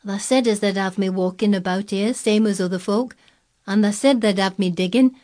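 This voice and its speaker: A woman puts on a lordly young boy for a storybook, pitched high. He gives orders with great decision and speaks eagerly and emphatically. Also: normal speed, a little mellow, close to an everyday voice. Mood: exultant.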